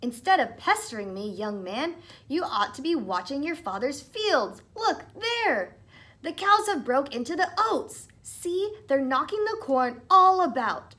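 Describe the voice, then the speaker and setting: A woman voicing a sly, crabby, tiny old leprechaun man in a storybook, with a sharp, scolding manner. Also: high-pitched, big pitch swings, measured pace, voice pushed up, full character voice. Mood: cross, urgent.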